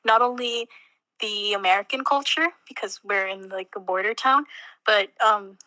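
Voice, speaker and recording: A woman's voice: mid-range voice, normal speed, pushed and bright, dry recording, rough recording. A young woman from Mexico, a college student chatting casually in an interview, with lots of fillers and little laughs. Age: young adult. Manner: chatty, many fillers, laughs between words.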